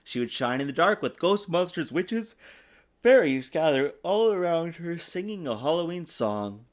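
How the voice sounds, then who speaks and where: A man reading a children's storybook aloud in an expressive, sing-song way, as a father does for his young child. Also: fairly high pitch, moderate pace. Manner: patiently answers child's interruptions. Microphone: desktop USB microphone.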